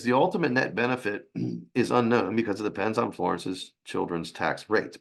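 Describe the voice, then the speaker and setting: Raspy low-voiced man giving a webinar. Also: fairly bright tone. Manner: halting, with restarts and fillers. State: hoarse from a sinus infection. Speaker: financial advisor presenting a webinar. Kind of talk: webinar presentation.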